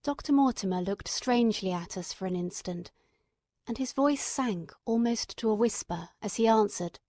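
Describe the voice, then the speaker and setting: A woman narrates a classic mystery novel from a script. Her voice sits at a medium pitch, and the recording is dry, with no room sound around it. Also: normal speed, dark tone, no room sound.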